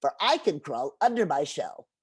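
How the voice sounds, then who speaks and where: Little male turtle, sly folktale trickster, theatrical, very animated intonation woman narrator acting. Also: low voice, moderate pace, slightly rough, voice pushed down, exaggerated voice. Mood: defiant. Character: small, wily male river turtle in a folktale.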